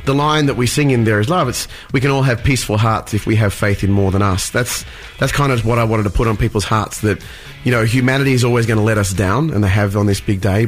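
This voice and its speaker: Earnest Australian man, halting. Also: lowish voice. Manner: earnest, halting with repeated words. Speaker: singer and guest. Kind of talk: radio interview chat.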